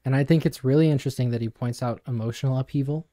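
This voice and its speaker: A young man co-hosting a podcast in a small home studio, explaining ideas in a measured, even way. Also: mid-range voice, no echo. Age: young adult. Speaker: podcast co-host. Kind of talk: reading a passage then casual discussion. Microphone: studio mic on boom arm.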